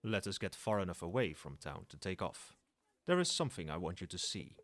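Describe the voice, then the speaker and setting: A man's voice: sits low, sing-song, brisk, noisy background. A man playing a proud old dragon in human form in an ASMR roleplay, speaking in formal, lofty and teasing phrases, with fondness. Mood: fond, reassuring.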